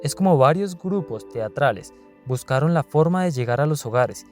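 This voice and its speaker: A man's voice: a little high, animated, moderate pace, a little mellow, faint music behind. A man reading scripted documentary narration.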